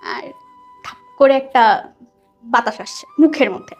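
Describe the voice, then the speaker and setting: High-voiced young Bangladeshi woman storytelling. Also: a little slow, a little mellow. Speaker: guest telling a personal story. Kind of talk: conversational spoken storytelling. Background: background music.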